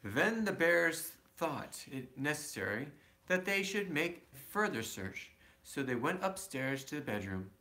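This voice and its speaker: Animated man reading a storybook aloud. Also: fairly high pitch, unhurried. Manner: simple explanatory asides to a child. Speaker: father reading aloud to his child. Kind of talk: children's storybook narration with casual asides.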